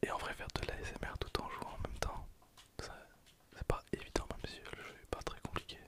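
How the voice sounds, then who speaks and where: A person whispering softly in a casual, hesitant way while commenting on their own gameplay for ASMR. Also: fairly quick. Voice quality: soft unvoiced whisper. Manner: casual, hesitant, thinking aloud while playing. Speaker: ASMR gaming video creator.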